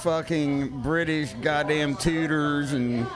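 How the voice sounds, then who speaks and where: A man's voice: on the high side, takes it slowly, over music. A man in casual, profane banter, talking in a blunt, crude and dismissive way as a podcast panelist. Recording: lively crowd noise behind. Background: crowd chatter.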